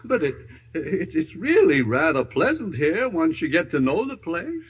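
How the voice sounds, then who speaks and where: An actor playing a jittery, blustering fairy-tale companion in 1950s radio drama, exclaiming comically. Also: male voice, fairly high pitch, sing-song, normal speed, slightly rough, highs cut off. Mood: excited, startled. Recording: old 1950 radio broadcast, music behind.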